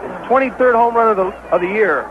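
An American man calling baseball play-by-play on 1970s radio as an excitable, partisan announcer. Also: high voice, a little fast. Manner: excitable, partisan home-team caller. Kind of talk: 1970s live radio sports commentary. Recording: dull, noisy vintage radio broadcast.

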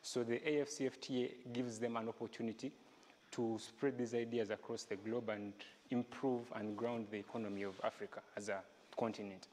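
A man speaking in a short video testimonial, slowly and deliberately. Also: medium pitch, steady tone, a little mellow. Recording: reverberant room with faint noise.

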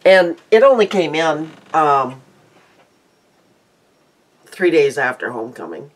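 Slow, rambling older woman with a congested nose. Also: deep voice, very animated. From Midwestern United States. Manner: rambling, self-interrupting, chatty. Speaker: beauty vlogger. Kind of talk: casual chatty vlog. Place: small cluttered room indoors. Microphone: webcam at desk.

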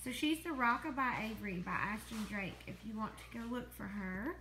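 A woman's voice: on the high side, normal speed, pushed and bright. A woman in her thirties with a Southern American accent, a mother filming her daughters on a phone in a living room and chiming in with extra details in a casual family chat. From Southern United States. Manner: chatty, chiming in to add details. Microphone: handheld phone camera, speaker off-screen. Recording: echoey room with faint noise.

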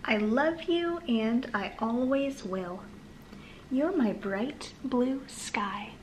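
A woman reading aloud to young children in a sing-song manner with gentle asides. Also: on the high side, animated, extremely slow, slight background noise, rough recording. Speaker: children's storytime host and reader. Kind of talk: read-aloud storytime for toddlers, addressing young children. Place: small living room, on a sofa, indoors.

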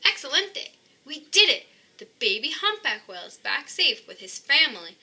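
An upbeat boy animal rescuer from a children's storybook , as a woman reads him. The voice is very bright and swings in pitch, calling out eagerly to young listeners with plenty of exclamation. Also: very animated, normal speed, exaggerated voice. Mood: triumphant. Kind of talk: children's storybook read-aloud.

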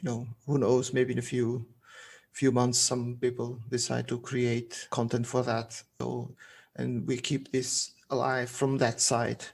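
A man from Portugal whose speech carries a Swiss German accent, his voice gravelly and a touch husky. He talks casually and haltingly as a podcast guest, often restarting a phrase before finishing it. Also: mid-range voice, monotone.

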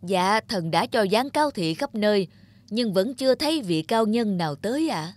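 A woman's voice: medium pitch, expressive, normal speed, lightly acted. A woman voicing a dutiful male court official in a cartoon, humble and formal. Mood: deferential. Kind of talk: cartoon voice acting.

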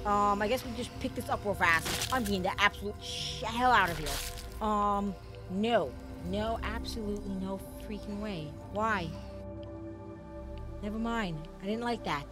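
A streamer with a voice prone to cracks, talking rambly through a horror game with many fillers. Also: female voice, medium pitch, measured pace, music in the background. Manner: rambling, filler-heavy, jumpy. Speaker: gaming streamer. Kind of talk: let's play commentary.

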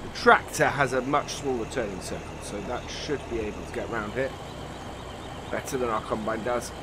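A man's voice: medium pitch, moderate pace. A man with a slightly nasal voice from a cold coming on, casually commentating a farming game and thinking aloud with many fillers. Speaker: let's play host. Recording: voice-over with clear game vehicle noise.

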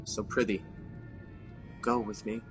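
A man's voice: fairly low pitch, level tone, takes it slowly, big-room sound, faint music behind. An actor playing Macbeth, a guilt-ridden scheming king, in a classic radio drama. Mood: brooding. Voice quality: brooding, intense.

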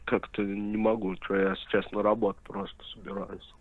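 A man answering briefly and haltingly in casual talk over a telephone. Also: lowish voice, flat delivery, dry recording. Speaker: amateur rapper receiving a phone call. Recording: tinny narrowband phone line.

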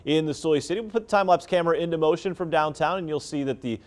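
A middle-aged man giving a chatty, brisk weather forecast in a TV studio. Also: fairly high pitch, darkish tone. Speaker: TV meteorologist.

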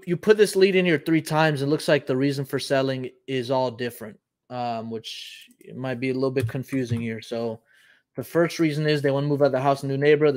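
Casual man thinking aloud. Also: medium pitch. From Puerto Rico. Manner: conversational, thinks aloud, frequent ums. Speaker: host. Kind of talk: live call-in show, casual explaining. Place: home office, indoors.

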